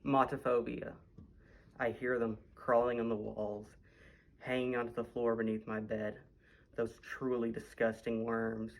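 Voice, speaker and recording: A teenage boy, a high school student, giving a dramatic first-person horror reading. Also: rough recording. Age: teens.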